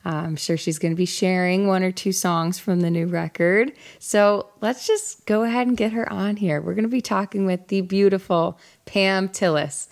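A woman's voice: medium pitch, normal speed, few pauses, a little mellow. A young woman in her twenties hosting a live-streamed music chat show, bubbly and upbeat, talking into a studio mic on a stand in a small rustic studio set. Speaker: host and singer.

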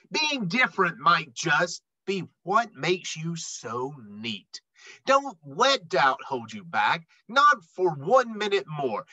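A middle-aged man reading a children's picture book aloud expressively, with emphatic, dramatic phrasing and drawn-out words. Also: high voice, pushed and bright, dry recording, low-quality recording. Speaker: read-aloud storyteller.

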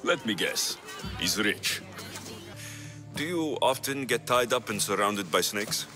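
An actor playing a wry, tough Greek mercenary in a video game, with a dry, sardonic delivery. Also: male voice, on the low side, animated, takes it slowly, music in the background. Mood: dry, teasing. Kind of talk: video game cutscene acting.

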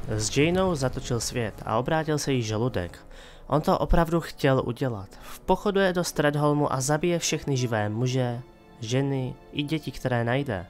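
A man giving scripted dramatic narration of a fantasy story as the narrator of an audio drama. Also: mid-range voice. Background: music.

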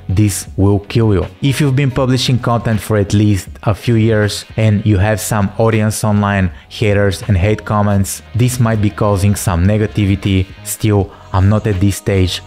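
A man with a fairly low voice and a Bulgarian accent talks straight to camera from his living room. He reads a script in a steady, earnest, instructive way, close to a studio mic. Soft music plays faintly underneath. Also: normal speed, hardly any pauses. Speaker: content creator. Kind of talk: scripted talking-head advice. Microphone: large studio mic on a stand. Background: soft background music.

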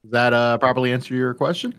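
A man's voice: medium pitch, fast talker, a bit bright. A man moderating a question-and-answer panel in a casual way. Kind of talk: casual hosting.

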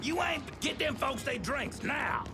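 An actor playing a bossy, irritable Southern American kitchen manager who barks scolding orders in a video game. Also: male voice, extremely high pitch, lively intonation, measured pace, music in the background.

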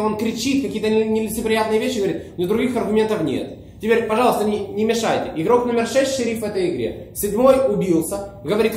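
A man at a mafia game table calmly walking through what other players did. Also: sits high. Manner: calm, reasoning, walks through events. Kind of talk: game argument. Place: room with a round table, indoors. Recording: echoey room with faint hiss.

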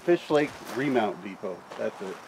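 A man's voice: fairly low pitch, very animated, normal speed, gravelly. Casual man talking outdoors, noisy recording. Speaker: camping companion and guide. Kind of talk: casual explanatory chat. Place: outdoors in the woods at a campsite. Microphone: camera microphone, a little away. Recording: noisy outdoor camera audio.

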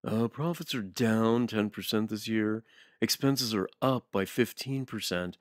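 A man's voice: on the low side, measured pace, no room sound, clean studio sound, lightly acted. Man playing a timid, stammering male accountant. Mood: nervous. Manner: hesitant, stammering, deferential. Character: timid, bookish male cartel accountant, nervous underling. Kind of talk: motion comic character acting.

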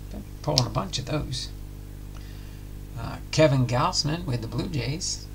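A middle-aged man works through packs of trading cards at a home desk. He talks slowly and announces each card plainly, his voice rough with gravel. His pitch bounces around freely, and a steady noise runs under the recording. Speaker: card-breaking channel host. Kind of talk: casual pack-opening commentary. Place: home room with card displays.

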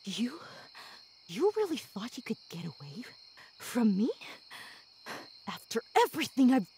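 A woman playing a sadistic, obsessive demon woman in an ASMR roleplay, gloating and possessive, with menacing breathy whispers that can break into shouts. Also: fairly high pitch, big pitch swings, unhurried, slight background noise. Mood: furious.

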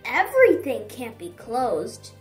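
A woman voicing an excitable, dramatic young male blue bison in a children's storybook, with emphatic, indignant delivery. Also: fairly high pitch, takes it slowly, soft background music, heavily acted. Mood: insistent.

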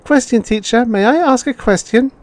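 An eager young pupil, voiced by a male narrator, pipes up with questions in a high, raised voice. The tone is keen and curious. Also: normal speed, a little mellow, no echo.

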